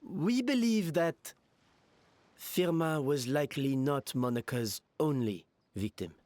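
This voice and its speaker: An actor playing a composed, reasonable gentleman in a video game, explaining things in a measured, articulate way. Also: a little high, animated, no room sound. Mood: grave, explanatory.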